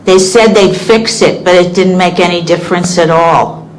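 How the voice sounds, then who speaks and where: A woman's voice: lowish voice, moderate pace, slight background noise, natural voice, rough recording. An actress playing a frustrated woman patient with asthma who answers defensively. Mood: exasperated. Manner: defensive, exasperated.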